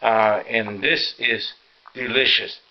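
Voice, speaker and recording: A tired, sore man gives a rambling, halting, casual narration as he hosts a home vlog. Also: fairly low pitch, steady tone, dull audio. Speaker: vlog host. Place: inside a house, walking through rooms.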